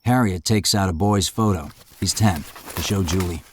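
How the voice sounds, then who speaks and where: A man reading scene directions in a steady, matter-of-fact way. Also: sits low, moderate pace, slight background noise. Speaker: narrator. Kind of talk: scripted narration of stage directions.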